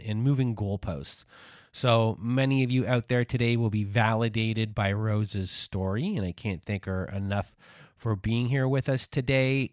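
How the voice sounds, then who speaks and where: A man hosting a podcast, introducing his guest in a measured, earnest way. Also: fairly low pitch, smooth, dry recording, clean studio sound. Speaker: podcast host.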